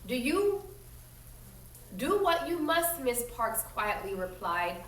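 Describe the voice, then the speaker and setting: A woman teacher reading a story aloud expressively to young children, pausing to explain it in simple, instructive asides. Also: a little high, very animated, fairly bright tone. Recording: roomy echo, distant mic, faint noise.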